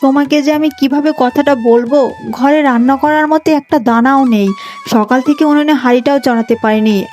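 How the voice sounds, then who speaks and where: A woman's voice: sits high, steady tone, a little fast, darkish tone, soft background music, lightly acted. A woman voicing a poor, dutiful young village daughter in a cartoon story, plaintive, earnest and emotional. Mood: distressed, pleading. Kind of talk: Bengali cartoon moral-story voice acting.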